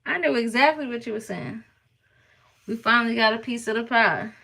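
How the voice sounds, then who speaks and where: Bright-voiced, playful New woman. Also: fairly high pitch, lively intonation, moderate pace, gravelly. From New York (Harlem). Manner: playful, teasing, laughs often. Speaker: vlogger chatting with live viewers. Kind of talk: casual live-stream chat.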